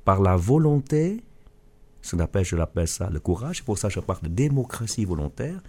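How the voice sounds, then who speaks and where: A middle-aged man from Vietnam answers interview questions earnestly, often restarting his sentences. His low, mellow voice moves with lively intonation. A slight huskiness runs through it. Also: brisk. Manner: earnest, with frequent restarts and stumbles. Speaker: guest author and business leader.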